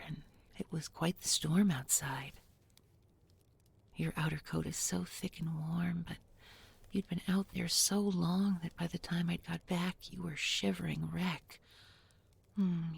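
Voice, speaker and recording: A woman playing a tender, bookish young village girl in an intimate audio roleplay, speaking softly and gently, often in a whisper. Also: on the low side, exaggerated voice. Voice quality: soft, breathy, often whispering. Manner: slow, gentle, murmured with lingering pauses.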